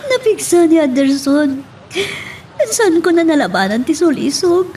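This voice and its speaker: A woman with an Ilocano Filipino accent playing a troubled, remorseful married woman in an audio melodrama, halting and pleading. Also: high-pitched, expressive, a little mellow, a little hiss or hum. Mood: troubled, tearful. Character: distressed pregnant married woman, remorseful, confessing an affair. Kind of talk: Ilocano radio-style melodrama acting.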